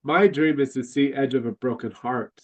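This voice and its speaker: A man's voice: medium pitch, steady tone, moderate pace, dull audio. Congested nasal man chatting casually. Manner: rambling, lots of fillers and restarts. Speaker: podcast host. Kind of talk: casual fan chat.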